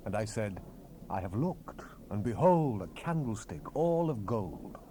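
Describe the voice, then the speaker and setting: Solemn, mellow-voiced male scripture narrator. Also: on the low side, big pitch swings. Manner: solemn, measured, theatrical. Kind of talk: dramatized King James Bible narration. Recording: hissy 1970s cassette rip.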